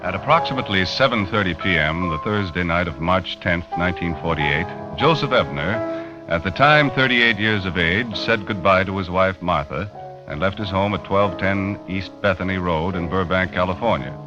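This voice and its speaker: A man's voice: low-pitched, normal speed, natural voice. An actor playing a matter-of-fact American magazine writer, narrating his investigation in an old radio drama. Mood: measured, factual. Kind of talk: 1940s-50s radio drama first-person narration. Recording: muffled vintage radio recording with music.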